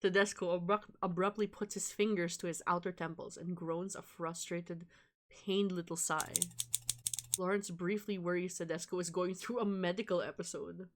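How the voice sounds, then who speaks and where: A woman's voice: fairly low pitch, fairly even intonation, normal speed. A woman streaming a game, reading a visual novel aloud with casual, sweary, amused asides. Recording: music behind the voice.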